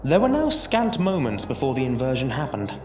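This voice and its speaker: A man narrating an audio drama as a scripted story, theatrical and deliberate, with dramatic pauses. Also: mid-range voice, wildly swooping pitch, a little mellow. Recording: roomy echo under music. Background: music.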